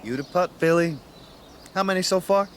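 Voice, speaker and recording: An actor playing a jovial, teasing golfing buddy in a radio drama. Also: male voice, high-pitched, normal speed, a bit bright, slight background noise. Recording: faint outdoor ambience with birds.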